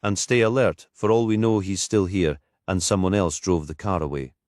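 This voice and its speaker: A man voicing a gruff, world-weary police detective in an audiobook, snappy, dry and authoritative. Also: deep voice, normal speed, darkish tone, dry recording, natural voice. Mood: commanding.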